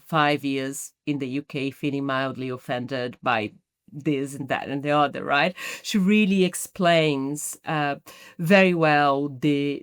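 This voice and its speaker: A middle-aged woman from Brazil with a Brazilian Portuguese accent, answering podcast interview questions in a thoughtful, measured way with frequent restarts. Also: low voice, dry recording, studio quality. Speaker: guest, marketing executive.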